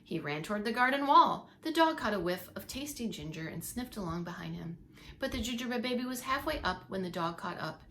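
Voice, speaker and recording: Female preschool teacher, mid-pitched, expressive read-along storytelling for little kids, light room noise. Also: brisk. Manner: emphatic storytelling with asides to listeners. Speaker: preschool teacher reading aloud.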